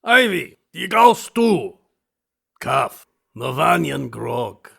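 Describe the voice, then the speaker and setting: A genial, grandiose big-game hunter in an audio drama. His voice is husky and sharply bright, and he speaks slowly with courtly, theatrical emphasis. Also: on the high side, very animated, heavily acted. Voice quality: gravelly, husky.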